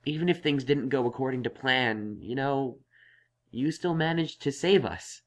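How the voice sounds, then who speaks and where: A man's voice: medium pitch, normal speed, close to an everyday voice. Young stallion unicorn scholar, played by a man: earnest, explanatory, reassuring, middle register, for a fantasy audio story. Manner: thoughtful, explanatory. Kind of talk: acted audio story dialogue.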